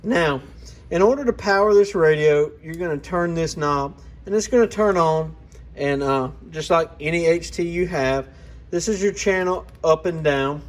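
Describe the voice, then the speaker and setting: Casual man explaining gear, slightly higher-pitched voice. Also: moderate pace. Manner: plainspoken, explanatory, informal. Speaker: product reviewer and channel host. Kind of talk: casual hands-on gear walkaround.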